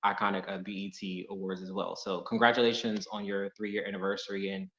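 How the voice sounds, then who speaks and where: A man from Pittsburgh joins a live dating show as a caller and talks in a polite, friendly, measured way. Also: sits low, flat delivery, fairly bright tone. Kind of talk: casual video-call chat. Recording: echoey, dull video-call audio.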